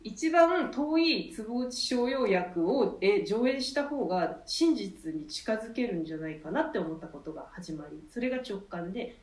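A woman explains her ideas reflectively in a mid-pitched voice, as a theatre member on a talk show. She is recorded in an echoey room, away from the mic. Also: expressive, normal speed, few pauses, a little mellow, recorded from a distance. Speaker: theatre troupe member explaining its artistic approach.

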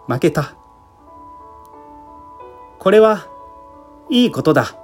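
A man's voice: a little high, sing-song, takes it slowly, darkish tone. A man reading a literary short story aloud as a dramatic narration. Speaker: amateur theatre troupe leader reading aloud. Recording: music bed behind the voice.

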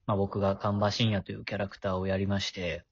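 A man's voice: low voice, level tone, quick pace, slightly rough, no echo. A man who works as a voice actor and writer, chatting casually and full of compliments about a voice drama. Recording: dull lo-fi audio.

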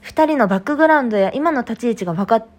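A woman with speech co-hosts a casual talk show. Her voice sits fairly high and swings widely in pitch, and she moves along at a fast clip. She is chatty and agreeable, whether talking or reading letters. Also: lively intonation. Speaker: podcast co-host and actress.

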